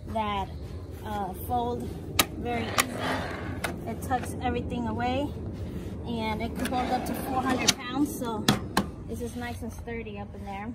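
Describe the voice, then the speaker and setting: A woman's voice: fairly high pitch, steady tone, measured pace. A young woman casually explaining a homemade build step by step, recorded outdoors on a handheld camera. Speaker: vlogger showing a home build. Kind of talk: casual show-and-tell. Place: outdoor driveway beside a house. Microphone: camera mic, handheld camera nearby. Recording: loud outdoor noise.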